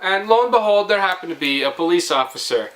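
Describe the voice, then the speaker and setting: A young man hosting a vlog, telling a story casually in a jokey, animated, teasing way. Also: high voice, fast talker, poor audio. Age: young adult.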